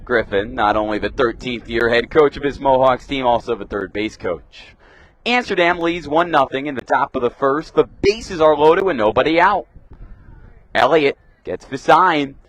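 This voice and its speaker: A young man calling live baseball play-by-play for radio in a crisp announcer delivery, punching up the pitch calls. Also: animated, moderate pace, a bit bright, rough recording. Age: young adult. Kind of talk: live sports commentary.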